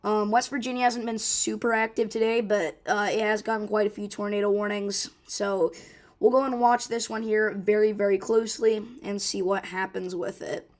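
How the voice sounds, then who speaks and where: Woman, hoarse rough voice, live storm coverage host, urgent, casual, filler-heavy. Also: mid-range voice, little pitch movement, normal speed. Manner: urgent, informal, many fillers and restarts. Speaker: severe weather livestream host. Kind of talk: live weather coverage stream.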